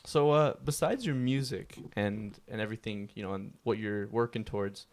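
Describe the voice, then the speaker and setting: A young man hosts a laid-back podcast chat from a room at home. He talks in a relaxed, easygoing way, often restarting his sentences and filling gaps with little hesitations. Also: on the low side, normal speed. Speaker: podcast host. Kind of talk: casual interview chat. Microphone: podcast mic.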